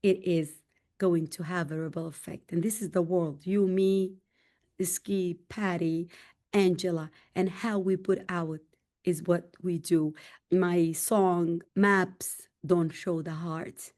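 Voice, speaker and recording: A middle-aged woman with a Sudanese accent tells her own story as a guest on a podcast. Her voice sits low and mellow, soft at the edges. She presses hard on the words that matter to her, climbing higher when she stresses a point. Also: fairly even intonation, slow pace. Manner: emphatic and heartfelt, rising in pitch on key points. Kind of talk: candid conversational storytelling.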